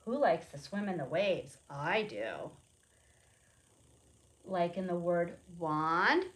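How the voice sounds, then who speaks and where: Slow, expressive woman leading preschool storytime. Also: lowish voice. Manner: simple, expressive teacherly phrasing addressed to young children. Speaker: children's library storytime presenter. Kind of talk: read-aloud storytime for preschoolers with questions and explanations.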